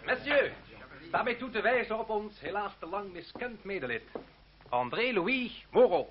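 An actor playing a smooth-talking French student chairman, addressing an assembly in formal, flowery oratory in a radio drama. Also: high-pitched, animated, moderate pace. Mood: earnest, rousing. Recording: dull lo-fi radio recording, crowd. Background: crowd.

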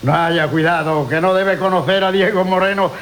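An actor playing a sly, gossipy go-between in a classical radio comedy, wordy, theatrical, mocking and persuasive. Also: male voice, high-pitched, moderate pace, fairly bright tone. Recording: hissy old radio broadcast.

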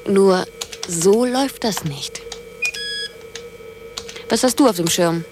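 A woman's voice: medium pitch, slow, background noise, close to an everyday voice. An actress playing a cool, self-reliant younger woman in a German radio drama, dry, blunt and dismissive. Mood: dry, wary.

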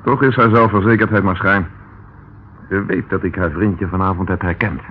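Guy playing a calm sardonic detective inspector in an old radio play, lowish voice, very tinny recording. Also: moderate pace, slight background noise, lightly acted. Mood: reflective. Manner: dry, composed, ironic. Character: calm, dryly ironic male police inspector. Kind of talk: radio drama acting.

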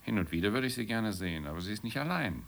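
A gravelly, brooding man. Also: lowish voice, expressive, fast. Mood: regretful, longing. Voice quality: gravelly, creaky. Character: lonely, bitter, brooding man left by his wife. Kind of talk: German radio play dialogue.

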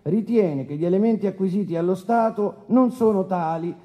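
An actor playing a formal, testy, officious presiding judge in a courtroom radio drama. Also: male voice, high-pitched, warm tone, lightly acted. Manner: formal and officious, with halting hesitations.

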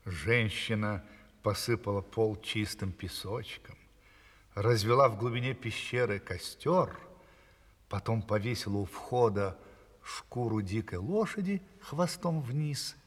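A man telling a fairy tale to children theatrically, savouring his words with a breathy, hushed delivery. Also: lowish voice, a little slow, in a large hall. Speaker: storyteller.

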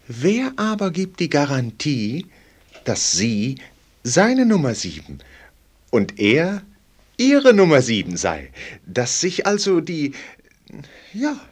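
A worldly, playful gentleman confidant in a radio play. His voice is husky and swings widely in pitch as he muses theatrically, lingering over rhetorical pauses. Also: wildly swooping pitch, full character voice. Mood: playful musing. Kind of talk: German radio play acting.

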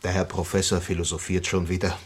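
A man acting a calm, considerate police commissioner in a radio crime drama, measured, soothing and matter-of-fact. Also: low voice, slight background noise, natural voice. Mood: reassuring.

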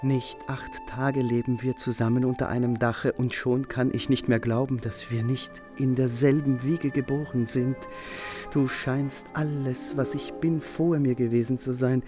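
An actor playing an adoring, anxious lover, speaking in a solemn, measured, lyrical way in a poetic radio drama. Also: male voice, mid-range voice, steady tone, lightly acted. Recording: music behind the voice.

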